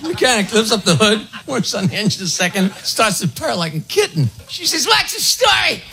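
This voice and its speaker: A man telling stand-up jokes in a punchy, clipped style, shouting out the dialogue and punchlines. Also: high-pitched, big pitch swings, normal speed. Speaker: stand-up comedian.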